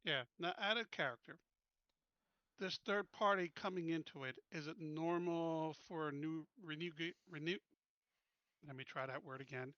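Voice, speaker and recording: Bright, higher-voiced man at a tabletop game. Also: moderate pace, no room sound, highs cut off. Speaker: player in a tabletop roleplaying game. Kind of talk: casual table talk.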